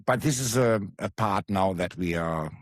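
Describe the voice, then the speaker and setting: A man from Greece with a German-tinged Greek accent, co-hosting a podcast in a halting way with many uhs. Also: sits low, dry recording, studio quality. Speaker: podcast co-host. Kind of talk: casual podcast chat.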